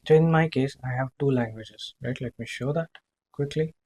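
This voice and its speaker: A man demonstrating software in a live screen-share walkthrough, speaking haltingly, repeating himself and often checking in with a short tag question. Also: medium pitch, a little hiss or hum. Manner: halting, repetitive, checking in with "right?".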